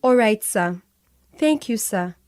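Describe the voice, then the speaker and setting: An actress with a Nigerian accent playing a brisk, businesslike company boss in a radio drama. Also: female voice, mid-range voice, expressive, darkish tone. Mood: deferential, businesslike. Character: brisk, dismissive female tech company boss.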